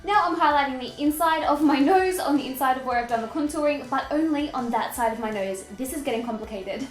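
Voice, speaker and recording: A woman's voice: high-pitched, brisk, echoey room, soft background music. A young woman with a stuffy, nasal head-cold voice, chatting upbeat and self-mocking through a makeup tutorial. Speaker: beauty vlogger. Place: indoor room with fairy lights.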